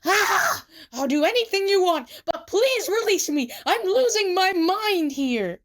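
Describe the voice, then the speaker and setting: A woman's voice: a little high, sing-song, moderate pace. A woman voicing a dramatic, vain young man who dreams of stardom and flusters easily, speaking theatrically in a story reading. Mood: panicked. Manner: theatrical, emphatic.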